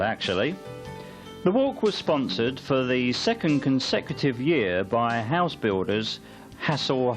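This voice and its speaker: A man narrates a local newsreel from a script. His delivery moves up and down in a lively way, at a middling pitch, with faint music underneath.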